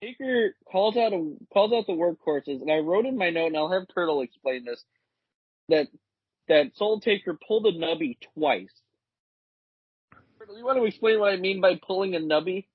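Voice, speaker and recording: Animated, husky-voiced male co-host. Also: sits high, normal speed, dry recording. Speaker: podcast co-host. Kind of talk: casual chat, recapping wrestling results. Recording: dull lo-fi podcast audio.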